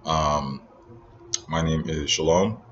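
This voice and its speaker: A young man from Nigeria with an American accent, talking reflectively in a casual personal vlog, pausing often with ums. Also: very deep voice, a bit bright, muffled recording, a little hiss or hum. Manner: reflective, many ums and pauses. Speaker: vlogger.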